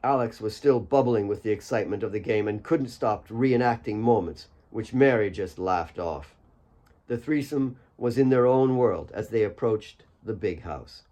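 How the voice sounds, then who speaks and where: A man's voice: fairly low pitch, normal speed, mellow. A man reading his own fiction as an audiobook, in scripted storytelling narration.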